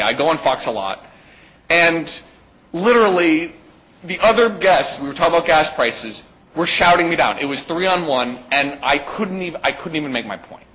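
A man with a loud voice giving a live talk to an audience, emphatic and peppering his points with "right?". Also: on the high side. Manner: emphatic, with rhetorical "right?" checks. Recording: dull lo-fi recording.

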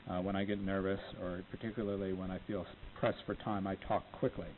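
Man, low and plain podium lecturer, filler-heavy, tinny phone-like audio with faint hiss. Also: level tone. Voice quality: low voice, not dynamic. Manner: unhurried, many ums, plainspoken. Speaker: lecturer on appellate oral argument. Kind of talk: informal talk to law students. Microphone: podium microphone.

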